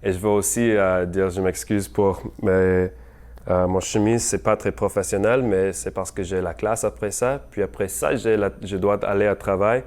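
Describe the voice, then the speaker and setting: A young man giving an unscripted campaign message, hesitant and halting, with many fillers and slips into English words. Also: fairly low pitch, fairly even intonation, a little mellow. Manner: apologetic about his French. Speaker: mayoral candidate.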